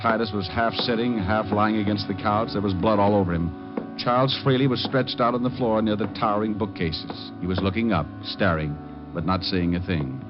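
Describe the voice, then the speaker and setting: An actor playing a tough, wry private detective in an old-time radio drama, narrating and questioning in a clipped, dry manner. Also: male voice, on the low side, moderate pace, close to an everyday voice. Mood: dry, grim. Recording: muffled old radio recording, music behind.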